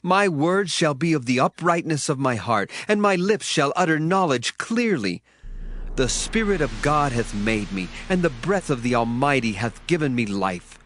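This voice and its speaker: A man's voice: on the high side, very animated, normal speed, a bit bright, a little hiss or hum. A man voicing Elihu, an indignant young man eager to speak, in an earnest dramatized scripture reading with rising emphasis. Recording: dry voice, faint music underneath.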